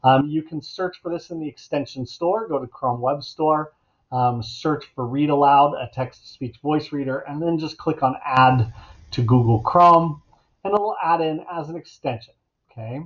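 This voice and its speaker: A middle-aged man giving a casual, instructional software tutorial as an educator at his computer. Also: a little high, normal speed. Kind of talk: casual instructional screencast narration. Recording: dull, lo-fi computer mic sound.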